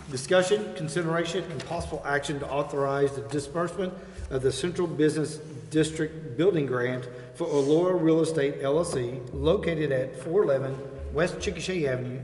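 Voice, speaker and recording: A man's voice: on the high side, a little fast, darkish tone. A man chairing a public meeting in a formal, procedural way. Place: indoor council chamber. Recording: echoey council chamber, background noise.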